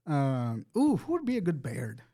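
A man's voice: mid-range voice, wildly swooping pitch, moderate pace, dark tone. A man co-hosting a podcast in casual banter, giving his opinions emphatically with plenty of swearing. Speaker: podcast co-host.

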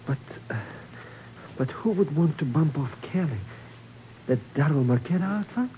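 An actor playing an excitable, easily alarmed comic sidekick to a secret agent in a 1950s radio drama. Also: male voice, fairly high pitch, moderate pace, close to an everyday voice, poor audio. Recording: hissy old radio broadcast.

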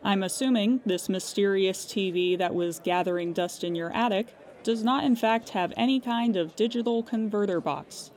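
A woman's voice: medium pitch, normal speed, hardly any pauses, a little mellow, natural voice. Woman playing a weary, sarcastic hotline operator. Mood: dry, official. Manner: dry, exasperated, clipped official phone manner. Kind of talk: scripted audio drama.

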